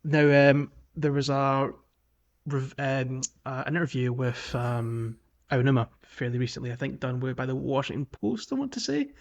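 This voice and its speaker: A man with a medium-pitched voice chats as a podcast co-host. His delivery is informal and hesitant, with phrases that stop and start as he works through a thought. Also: expressive, moderate pace, vocal fry. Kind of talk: casual video game podcast chat.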